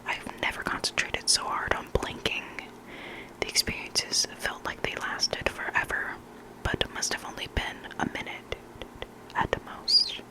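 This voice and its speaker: A hushed, breathy whisper reading stories slowly and deliberately in ASMR style, close to the microphone. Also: rough recording. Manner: slow, deliberate, hushed, with repeated trigger words. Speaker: host reading listener horror stories.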